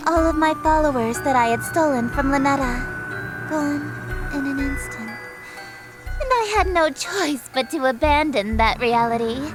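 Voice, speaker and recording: Haughty, very high-voiced chaos goddess. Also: female voice, a little slow. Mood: cold, boastful. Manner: grandiose, imperious, melodramatic. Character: haughty theatrical eldritch goddess of chaos, female, easily flustered. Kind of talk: scripted visual novel game acting. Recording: music behind the voice.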